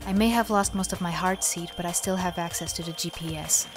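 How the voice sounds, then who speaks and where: A reserved, serious older schoolgirl from an anime parody, voiced by a woman. She is composed and matter-of-fact, with a lowish pitch and faint music behind. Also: a little fast, natural voice.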